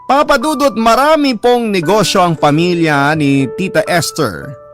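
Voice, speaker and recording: A man reading a listener's heartfelt letter aloud as earnest, polite scripted narration. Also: fairly high pitch. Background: background music.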